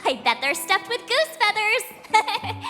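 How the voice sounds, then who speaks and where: A woman's voice: extremely high pitch, big pitch swings, takes it slowly, bright, forceful tone, in a large hall, faint music behind, full character voice. An actress playing a bubbly, cheeky teenage adventurer girl in a video game, with a breezy, slangy, dramatic delivery. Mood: playful, excited. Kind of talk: English video game voice acting.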